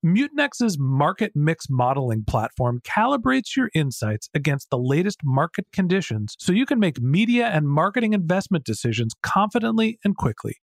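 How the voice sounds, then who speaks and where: A man's voice: on the high side, big pitch swings, normal speed, gravelly, hardly any pauses, no room sound, clean studio sound. A man with an American accent reading a scripted sponsor message as a podcast host. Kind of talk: scripted ad read.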